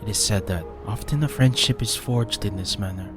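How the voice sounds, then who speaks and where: A man voicing a grave, honor-bound ninja master in a fantasy audiobook, speaking formally and with measured solemnity. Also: lowish voice, music in the background, lightly acted.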